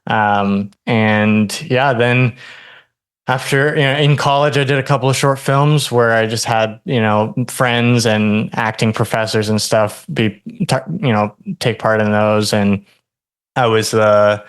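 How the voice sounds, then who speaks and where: A young man from Hawaii, a podcast guest telling his story in a relaxed way, full of ums and likes. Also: on the low side, unhurried, clean tone. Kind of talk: casual interview chat.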